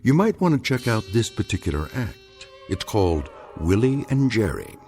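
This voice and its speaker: A man narrating a scripted radio drama in a wry, measured, formal manner. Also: on the low side, sing-song, a little mellow, soft background music.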